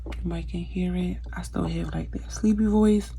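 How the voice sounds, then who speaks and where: Young woman, craft vlogger, low gravelly voice, chatty and filler-heavy, faint hiss behind. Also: steady tone, moderate pace, mellow. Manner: chatty, many fillers, addresses viewers as "you guys". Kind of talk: casual how-to vlog chat.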